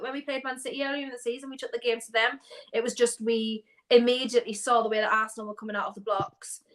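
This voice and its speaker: A young woman from North East England chatting casually about football as a guest, animated and quick to laugh. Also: mid-range voice. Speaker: guest fan.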